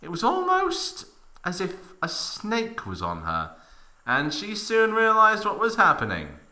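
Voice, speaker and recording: Guy reading fanfic super dramatically, bright punchy voice, pitch all over the place, hammy. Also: wildly swooping pitch, measured pace. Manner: theatrical, exaggerated emphasis. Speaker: narrator of a fan fiction reading. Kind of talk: mock dramatic reading with comic asides.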